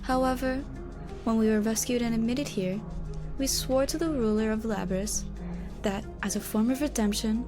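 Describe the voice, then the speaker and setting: A woman's voice: a little high, normal speed, music in the background, natural voice. A woman playing a proud, stern but courteous illusionist in a fantasy audio drama, speaking formally and theatrically. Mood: solemn, commanding.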